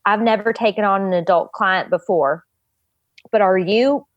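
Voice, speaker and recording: A woman's voice: mid-range voice, normal speed, highs cut off, natural voice. A woman playing a brash, blunt, hard-selling agent for child actors in improvised comedy. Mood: brash, impressed. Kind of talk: improvised comedy character talk.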